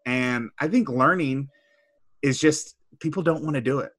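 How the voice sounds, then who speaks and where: Man, bright forceful voice, dry close sound, chatty storyteller. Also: mid-range voice, normal speed, no echo. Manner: conversational, storytelling with asides. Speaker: podcast co-host. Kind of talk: casual discussion.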